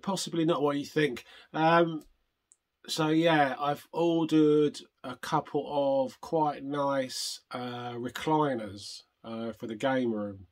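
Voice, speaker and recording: Older man, hoarse and rambling. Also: fairly high pitch, steady tone, slow. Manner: rambling, self-interrupting, chatty. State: hoarse from a chest infection. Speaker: retro gaming channel host. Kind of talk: casual vlog chat.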